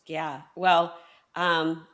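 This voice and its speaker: A woman's voice: low voice, flat delivery, very slow, fairly bright tone. A woman hosting a book podcast, asking questions in a thoughtful, hesitant way with frequent ums. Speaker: podcast host. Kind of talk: interview conversation.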